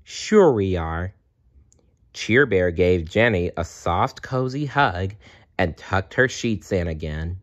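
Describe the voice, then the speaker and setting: A man's voice: deep voice, lively intonation, slow, a bit bright. A man reading a children's storybook aloud as narrator, on a dull, lo-fi recording. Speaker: storybook reader.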